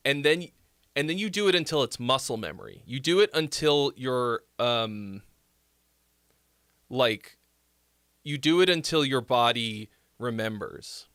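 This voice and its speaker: A man's voice: mid-range voice, lively intonation, unhurried, pauses often, a bit bright, clear, resonant tone, no echo, clean studio sound. Nasal-voiced man giving casual song feedback. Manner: rambling, many fillers and restarts. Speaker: music critique livestream host.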